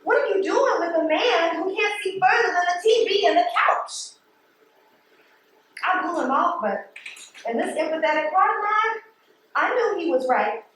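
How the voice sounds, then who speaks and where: An actress playing a remorseful, self-doubting postal supervisor and weary mother, speaking in a halting, confessional way in a stage play. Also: very high voice, lively intonation, a bit bright. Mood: regretful, reflective. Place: theatre stage. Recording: roomy stage, distant mic, faint noise.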